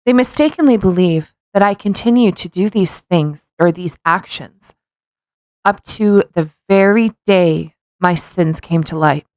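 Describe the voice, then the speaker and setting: A woman with a mid-pitched voice, recorded dry with little room sound. She co-hosts a podcast, switching between blunt, joking casual chat and reading aloud. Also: darkish tone, no room sound. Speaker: podcast co-host.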